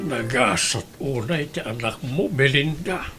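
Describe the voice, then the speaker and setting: A man playing a grave elderly grandfather who speaks slowly and reproachfully in a family drama. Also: medium pitch, lively intonation, loud music behind the voice, close to an everyday voice. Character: grave elderly grandfather, stern but caring. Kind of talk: Ilocano radio-style family drama.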